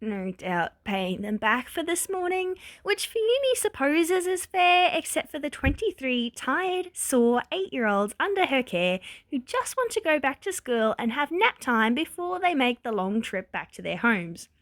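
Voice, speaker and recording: A woman narrates a story in a fairly high voice, leaning into wry, exasperated emphasis. The sound is close and dry, with very little room around her. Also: sing-song, unhurried, hardly any pauses, a bit bright, dry recording. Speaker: podfic narrator reading fan fiction.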